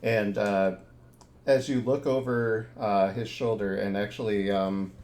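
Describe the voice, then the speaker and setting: A man's voice: fairly low pitch, fairly even intonation, a little slow, darkish tone. A man narrating a tabletop horror game as game master, easygoing and rambling, full of ums and restarts.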